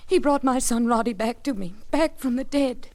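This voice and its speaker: An actress plays a grieving, trusting mother in a vintage radio melodrama. Her voice is fairly high, with formal, earnest, genteel delivery. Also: normal speed, darkish tone, natural voice. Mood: earnest, confiding. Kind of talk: 1940s radio drama acting.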